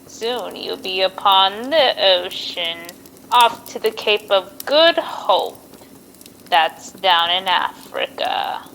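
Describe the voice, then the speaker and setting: A woman's voice: medium pitch, animated, a bit bright, a little hiss or hum, rough recording. A woman playing an ancient, gleeful witch who tells eerie tales in old radio horror style, drawing out her words. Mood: eerie, ominous. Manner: slow, drawn-out spooky storytelling with cackles.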